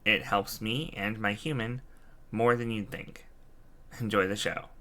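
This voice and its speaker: A man giving relaxed, casual commentary while playing a game, musing to himself. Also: fairly low pitch, measured pace. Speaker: let's-play streamer. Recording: game music behind.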